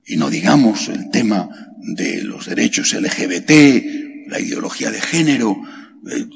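Middle-aged priest, unhurried homily with gravelly edge, large chapel reverb, faint hiss. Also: male voice, animated. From Spain. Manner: slow and emphatic, rhetorical questions, pitch leaps on key words. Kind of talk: sermon. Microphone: stand mic at lectern. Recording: long hall reverb, faint background hiss.

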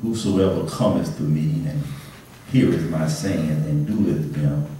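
A man's voice: a bass voice, a little slow, mellow, slight background noise. A man preaching conversationally in church as a pastor, often calling on the congregation to respond. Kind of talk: church sermon. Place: indoor church, speaking from pulpit to congregation. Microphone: handheld microphone through PA, picked up by distant camera. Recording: echoey church hall, distant camera microphone, congregation responding.

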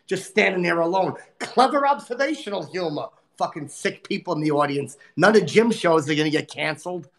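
A man playing a crude, boastful, short-tempered male comic, cursing and griping as he hosts a livestream in a nasal, gravelly put-on voice. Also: fairly high pitch, fairly bright tone. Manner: profane, insulting, exasperated rants. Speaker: livestream host. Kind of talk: livestream comedy character rant.

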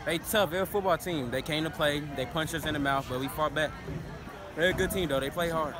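Teen football player, short plain answers. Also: male voice, a little high, fairly quick. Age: teens. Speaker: high school running back. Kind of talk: post-game interview. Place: football field at night, outdoors. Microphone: handheld camera close up.

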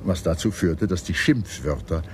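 Male history narrator, low register, measured formal scripted read, noisy background. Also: animated, a little mellow. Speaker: documentary narrator.

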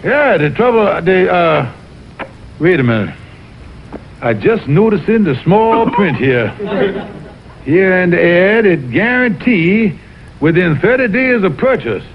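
A man's voice: high-pitched, big pitch swings, takes it slowly, pauses often, a little hiss or hum, full character voice. A man voicing a pompous, scheming Southern colonel, a folksy cartoon animal con artist, in a vintage cartoon. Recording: dull old TV cartoon soundtrack, music behind.

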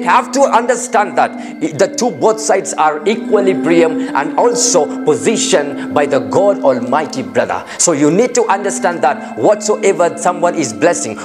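A man from India preaching a sermon, emphatic and repetitive, with frequent restarts. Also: a little high.

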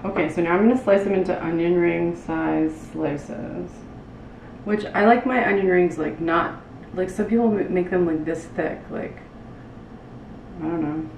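Female cooking host, thirties, lowish register, informal and halting delivery; roomy kitchen sound with clear background noise. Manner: loose, halting chat with frequent "like". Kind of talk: casual cooking demonstration, talking while working. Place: small indoor kitchen with tiled floor. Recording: roomy, distant mic with loud room noise.

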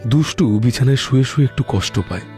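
A man's voice: medium pitch, fast. A man narrating a scripted cartoon story as a storyteller. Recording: prominent music under the voice.

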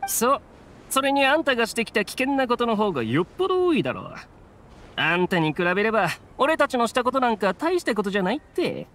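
A man's voice: very high-pitched, huge pitch swings, fast, fairly bright tone, exaggerated voice. A man playing a good-natured, chatty young male mercenary in an anime game, boyish and easily flustered. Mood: friendly. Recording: faint music behind the voice.

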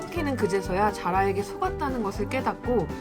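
Woman co-host, mid pitch, casual chat n storytime reading, music behind her. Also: animated, brisk. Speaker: co-host who reads the fairy tale. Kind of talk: casual chat and simple storytelling read aloud.

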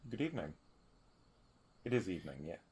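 A man roleplaying in a tabletop game, speaking in a formal, deliberate manner. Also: sits low, sing-song, a little mellow, slightly rough. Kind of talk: in-character roleplay.

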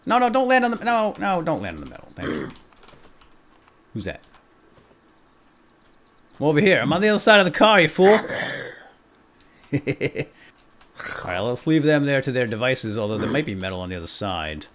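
A man's voice: mid-range voice, big pitch swings, moderate pace, long pauses, fairly bright tone. A man hosting a gaming let's play, giving playful, exuberant commentary as he talks to himself and viewers. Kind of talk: playful game commentary with light role-play.

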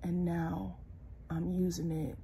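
A young woman lies in bed speaking into a close phone camera, her voice congested and hoarse. She talks slowly and wearily in a low, mellow tone, often dropping toward a whisper. A faint hiss sits under the quiet bedroom. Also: little pitch movement. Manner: tired, soft-spoken, sometimes dropping to a whisper. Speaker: vlogger. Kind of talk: casual personal vlog. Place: bedroom, lying in bed indoors. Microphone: phone camera close, held low.

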